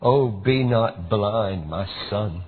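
A man voicing a gentle, forgiving old father who speaks tenderly and earnestly. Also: on the low side, slow pace, slight background noise. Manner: tender, pleading. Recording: tinny phone-like recording.

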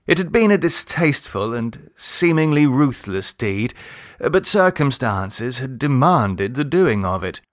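A man reading a literary short story aloud in a measured, expressive storytelling manner. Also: mid-range voice, no room sound, clean studio sound. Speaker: audiobook reader. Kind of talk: scripted literary story narration.

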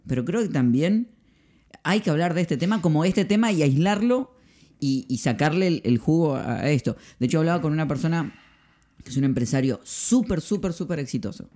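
A man's voice: fairly high pitch, lively intonation, a little fast, warm tone. A man in his thirties with an Argentine accent, hosting a casual podcast conversation at a close microphone in a small room. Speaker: podcast host, pastor. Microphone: podcast microphone on arm, close.

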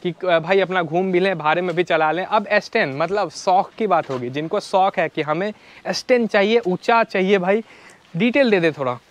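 Young host, higher-pitched, chatty, slips in English, lapel mic outdoors. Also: male voice, normal speed. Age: young adult. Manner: chatty, mixes English phrases, addresses viewers as brothers. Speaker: car vlogger host. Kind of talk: casual walkaround car showcase. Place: outdoor used-car lot. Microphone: clip-on lapel mic.

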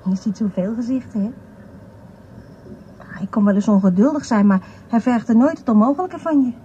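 A friendly waitress reminisces for a radio interview, acted by a woman. Her voice is soft-toned and simple, in a dull recording with faint background noise. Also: fairly high pitch, moderate pace, lightly acted. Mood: reminiscing. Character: friendly, plain-spoken airport waitress being interviewed. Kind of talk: interview clip in radio drama.

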